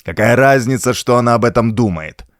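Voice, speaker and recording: A man voicing a frightened, bullying old man in an audiobook, hurried, nervous and imperious. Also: mid-range voice, dry recording, studio quality, natural voice. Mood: pushy, impatient.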